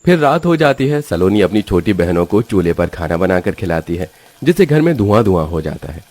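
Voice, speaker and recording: A man with a fairly low voice narrates a children's story from a script. His intonation is highly animated and moves up and down a lot. The recording is dry, with no room sound. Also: fairly quick, no echo, soft background music.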